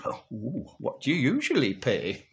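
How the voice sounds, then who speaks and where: A man's voice: medium pitch, wildly swooping pitch, moderate pace. A man voicing a plain-spoken working-class father with a Northern English accent in a comic monologue. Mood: hesitant, canny.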